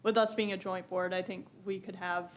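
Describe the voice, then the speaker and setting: A woman on the planning staff explains zoning procedure in a plain, agreeable manner. Her voice stays flat and even, and there is faint noise behind her. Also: on the low side, a little fast. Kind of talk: public meeting remarks. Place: public meeting room.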